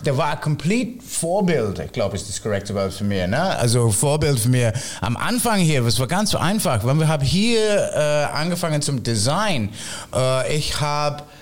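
A man's voice: a little high, big pitch swings, normal speed. A man from England with a British accent, speaking German as a second language with many fillers in a casual chat about his shop. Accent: British English speaking German as a second language. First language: English. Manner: broken German, many fillers, laughs often. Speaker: shop owner guest.

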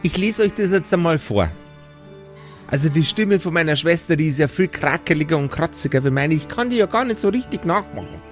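Ein Mann spielt eine ältere, schimpfende Zwergenmutter mit bairischem Dialekt, derb und sarkastisch, in einem improvisierten Rollenspiel-Hörspiel. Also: male voice, a little high, expressive, brisk, soft background music, close to an everyday voice. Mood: bossy. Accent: Bavarian. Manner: nagging, earthy, sarcastic. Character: gruff, scolding old dwarf mother.

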